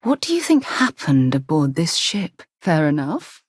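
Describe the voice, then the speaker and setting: A woman playing a composed, principled space explorer in a video game, with articulate, measured and formal phrasing. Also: deep voice, big pitch swings, breathy edge, no echo, clean studio sound, heavily acted. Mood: measured, thoughtful. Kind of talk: video game character dialogue.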